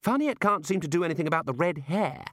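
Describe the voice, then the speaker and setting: A man's voice: a little high, expressive, fast talker, a bit bright, no room sound, clean studio sound, pitched above the performer's own voice. A man voicing an anxious, earnest young wizard in a storybook, fussy and insistent as he explains. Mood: glum, earnest.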